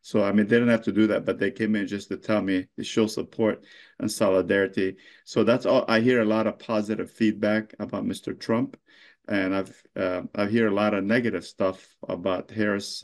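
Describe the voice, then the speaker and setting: Low-voiced man, halting with fillers. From Lebanon. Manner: halting, heavy with fillers and restarts. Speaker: mayor being interviewed. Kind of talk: unscripted interview answers.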